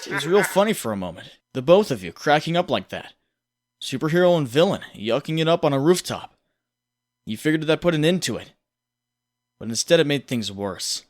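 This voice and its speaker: A man's voice: fairly high pitch, sing-song, a little fast. A man playing a young hero's brooding, guilt-ridden inner voice in a comic dub, sardonic and intense in second-person narration. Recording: long cavernous reverb.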